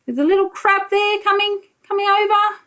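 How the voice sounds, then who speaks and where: A woman's voice: very high-pitched, steady tone, normal speed, fairly bright tone. A woman preschool teacher reading a picture book aloud to young children, explaining things and asking simple leading questions.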